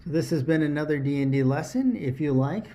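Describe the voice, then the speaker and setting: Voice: mid-range voice, animated, fairly quick, mellow. A presenter giving an instructional explainer, talking directly to the listener and posing rhetorical questions. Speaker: tabletop RPG lesson host.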